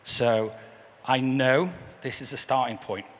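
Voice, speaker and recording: Hoarse man giving a church testimony. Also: medium pitch, steady tone, takes it slowly. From England. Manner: earnest, repeats words when restarting. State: hoarse, croaky voice. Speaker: guest speaker. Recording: echoey hall with faint ambience.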